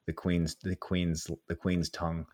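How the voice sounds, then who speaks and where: A man's voice: sits low, little pitch movement, unhurried, darkish tone. A young man interviewing a colleague in a casual, rambling way, full of fillers and restarts.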